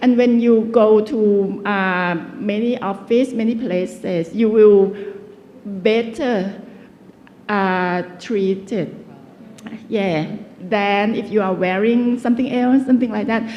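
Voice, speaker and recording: A woman's voice: medium pitch, fairly even intonation, slow, highs cut off, a little hiss or hum. A woman giving a guest lecture in a chatty, conversational way, often stopping to search for words. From Thailand. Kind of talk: casual lecturing. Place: lecture hall. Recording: long reverb of a lecture hall.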